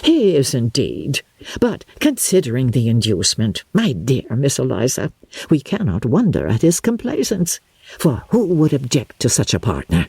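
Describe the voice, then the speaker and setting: A woman playing a genial, talkative older gentleman with an effusive, flowery, gallant manner in an audiobook. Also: very deep voice, wildly swooping pitch, normal speed, vocal fry, husky, dry recording, full character voice. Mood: gallant, coaxing.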